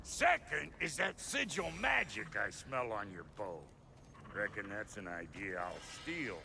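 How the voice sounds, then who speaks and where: Game role: cranky Southern dwarf smith, gravelly and piercingly bright, blunt and folksy. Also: male voice, on the low side, sing-song, unhurried, slight background noise, exaggerated voice. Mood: bossy, irritated. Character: gruff, cantankerous male dwarf blacksmith. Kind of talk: cinematic video game acting.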